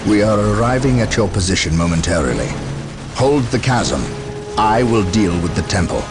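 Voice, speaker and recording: A man playing a stern, burdened space marine captain in a video game, speaking gravely and formally. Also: lowish voice, sing-song, moderate pace, music in the background. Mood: commanding. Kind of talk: dramatic video game acting.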